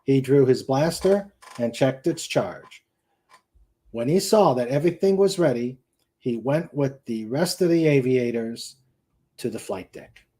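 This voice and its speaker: Male reader for dramatic novel narration, soft-edged mellow tone, mid pitch, careful delivery. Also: lively intonation, a little slow. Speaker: host reading a novel aloud. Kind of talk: dramatic audiobook-style narration.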